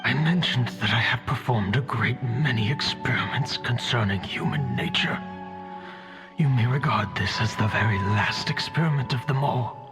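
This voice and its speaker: Casting: male actor as a dead idealist scholar's lingering mind, solemn and weary, formal measured delivery, mid-pitched, for a dramatic game, music underneath. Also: close to an everyday voice.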